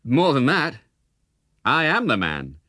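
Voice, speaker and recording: A man voicing a spirited, cheeky young outlaw hero in a children's storybook, speaking in brisk, formal, old-fashioned banter. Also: mid-range voice, wildly swooping pitch, pushed and bright, dry recording, studio quality, pitched above the performer's own voice, exaggerated voice. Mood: proud, warm.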